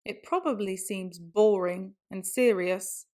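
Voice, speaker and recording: A woman's voice: medium pitch, slow, darkish tone. A woman reading a children's book aloud in a theatrical storytelling style, with exaggerated exclamations.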